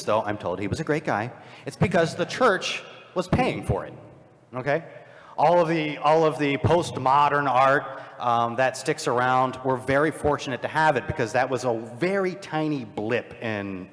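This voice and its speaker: A man's voice: mid-range voice, fairly bright tone. Rambling man lecturing on a live panel. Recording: live panel in a reverberant hall.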